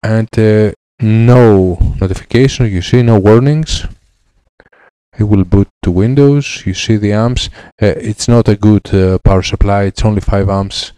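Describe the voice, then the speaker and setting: A man in his thirties with a Greek accent, a repair technician explaining a laptop fix in halting English with many fillers, on a headset mic in his lab. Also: fairly low pitch, warm tone, smooth, no room sound. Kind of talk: hands-on repair tutorial. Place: electronics repair lab, indoors.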